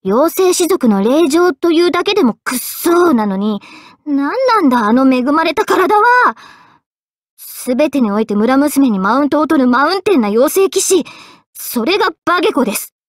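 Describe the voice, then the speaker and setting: A woman playing an earnest, polite, quietly self-deprecating young female mage in expressive anime game voice lines. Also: high voice, moderate pace, dry recording, clean studio sound. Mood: grumbling, cheeky. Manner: polite, expressive, shifting between excited and subdued.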